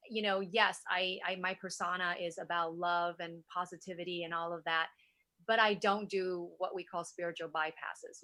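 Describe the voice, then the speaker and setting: Middle-aged woman, native Vietnamese speaker, lower voice, enthusiastic and effusive, interview guest, very little room sound. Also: bright, forceful tone, no room sound. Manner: effusive, enthusiastic, frequent rhetorical asides. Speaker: interview guest, wellness entrepreneur. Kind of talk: conversational interview.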